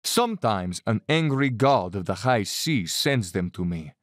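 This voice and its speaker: An actor playing a suave, cold-blooded Cossack general who loves the hunt, speaking with urbane condescension in an audio drama. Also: male voice, fairly low pitch, very animated, no echo, clean studio sound. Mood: smooth, menacing. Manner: urbane, bland, condescending, theatrically unhurried. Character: suave, cold-blooded aristocratic Cossack general, fanatical big-game hunter.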